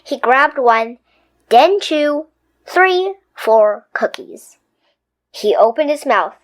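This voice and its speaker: A woman reading a children's picture book aloud in an expressive, playful storytelling manner. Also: high voice, slow pace. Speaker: storybook reader.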